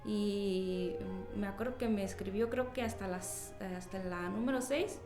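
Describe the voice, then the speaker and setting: A woman with a Mexican accent shares personal memories in an interview. Her voice sits at a middle pitch. The room echoes and music plays clearly underneath. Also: unhurried.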